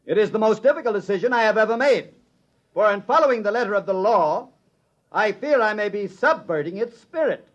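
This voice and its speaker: An actor playing a solemn, troubled judge in 1950s radio drama, speaking in formal, weighty oratory. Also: male voice, sits high, expressive, a little fast. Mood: grave, troubled. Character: solemn, troubled male judge delivering a reluctant ruling. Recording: dull vintage radio broadcast recording.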